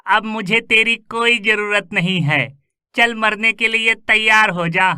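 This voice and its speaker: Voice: mid-range voice, slow, sharp tone, clear, resonant tone, no echo, clean studio sound. An actor voicing a greedy, scheming male swindler in a cartoon story, with a gloating, sneering delivery. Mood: gloating, menacing.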